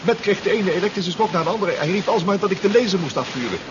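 An actor playing a distraught, exhausted submarine pilot in a 1970s radio drama, stammering and repeating himself. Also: male voice, high voice, animated, fast. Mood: shaken, apologetic. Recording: dull radio play recording, loud engine noise.

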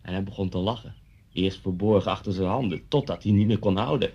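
A man's voice: sits low, brisk, mellow, husky. An actor playing an intense, brooding man who confronts his old schoolmaster with vivid, pointed storytelling in a Dutch radio play. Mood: insistent, vivid. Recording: dull lo-fi radio play recording.

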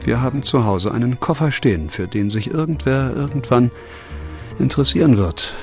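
An actor playing a hard, sardonic police investigator in a radio crime drama, commanding and clipped. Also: male voice, mid-range voice, animated, normal speed, soft background music, close to an everyday voice. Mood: controlled, sardonic. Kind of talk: German radio drama acting.

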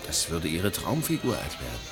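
An actor playing a suave, cynical journalist in a German radio play, talking with ironic, teasing gallantry. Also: male voice, low voice, wildly swooping pitch, moderate pace, music in the background. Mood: teasing, amused. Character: suave, cynical male journalist chasing money, world-weary adventurer.

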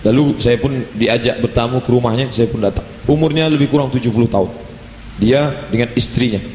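Animated preacher in an echoing hall. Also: male voice, medium pitch, fairly quick, slight background noise. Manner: lively, animated delivery with playful asides. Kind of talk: religious lecture. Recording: roomy hall echo, faint ambience.

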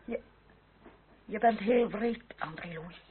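A woman's voice: medium pitch, slow, natural voice. Actress as an anxious noble lady, tinny old recording. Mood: motherly, pleading. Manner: emotional, pleading, genteel. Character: anxious aristocratic French lady, motherly and secretive. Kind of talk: period radio drama acting. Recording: tinny, noisy old radio play recording.

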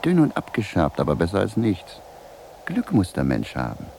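An actor playing a weary, freezing wanderer who muses theatrically to himself in a radio drama. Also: male voice, deep voice, huge pitch swings, normal speed, creaky, warm tone, exaggerated voice. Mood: surprised, pleased. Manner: theatrical musing, talking to himself. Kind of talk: German radio drama acting, soliloquy. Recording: noisy 1970s radio drama recording.

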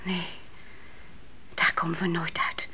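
A woman playing an older widowed mother in a radio play, grieving, solemn and firm in her faith, with a husky, often hushed voice. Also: on the low side, flat delivery, slow, in a whisper. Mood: devout, firm. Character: grieving, devout old widowed mother, stern and unyielding in faith.

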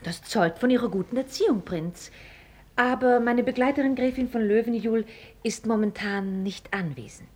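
A woman acting a cool, witty, self-assured young heiress in a German radio play, composed and formally ironic, with a husky voice. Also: fairly high pitch, normal speed, a little mellow, natural voice. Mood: dry, ironic. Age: young adult.

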